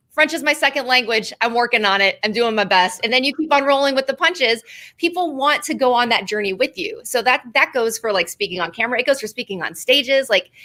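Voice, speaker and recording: A woman's voice: on the high side, sharp tone. A woman in her thirties, a guest on an interview show, chatting casually and saying "like" a lot, laughing easily. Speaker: guest, video marketing expert.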